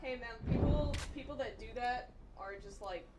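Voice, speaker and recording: A woman with a fairly high voice talks casually to viewers while live streaming a game. Her speech is informal and chatty, with mild swearing. Loud music and game gunfire play behind her. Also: moderate pace. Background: video game gunfire and music.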